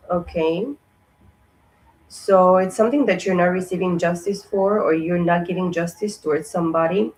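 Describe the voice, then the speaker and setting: A woman reads tarot cards in a loose, casual way, her voice hoarse and strained as it fades. She speaks on a low, flat pitch that barely rises or falls. She stops and restarts often and fills the gaps with "uh" and "um.". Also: a little hiss or hum, low-quality recording. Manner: halting, many fillers and restarts. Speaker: tarot reader. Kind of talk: casual spoken tarot reading.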